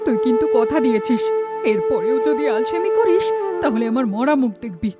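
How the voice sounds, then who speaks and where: A woman's voice: fairly high pitch, lively intonation, moderate pace, loud music behind the voice, natural voice. An actress playing a stern, scolding older village mother in a cartoon. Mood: angry, stern. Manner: stern, dramatic. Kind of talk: Bengali cartoon dialogue.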